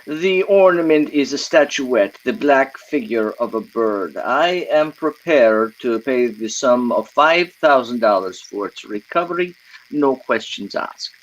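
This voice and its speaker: An actor playing a fussy, polite, furtive Greek crook with formal, hesitant phrasing, in old-time radio style. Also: male voice, medium pitch, animated, a little slow, lo-fi sound, natural voice. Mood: polite, cautious. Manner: formal, hesitant, over-precise.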